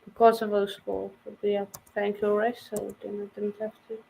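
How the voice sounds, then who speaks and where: A woman on a football watch-along who reacts with exclamations at the match and teasingly hushes her co-hosts. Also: mid-range voice, monotone, moderate pace, gravelly, a little hiss or hum, rough recording. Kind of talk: casual chat with match reactions.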